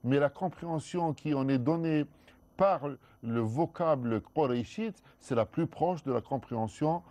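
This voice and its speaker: Mellow-voiced male scholar explaining. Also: a little high, no echo. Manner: measured, with frequent restarts. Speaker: guest scholar. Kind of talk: explanatory studio discussion. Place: TV studio.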